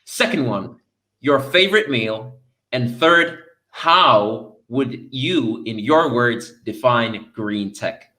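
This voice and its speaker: A man's voice: medium pitch, animated, slow pace, pushed and bright. Chatty male panel moderator. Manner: upbeat, chatty, playful asides. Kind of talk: hosting an online panel. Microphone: video call.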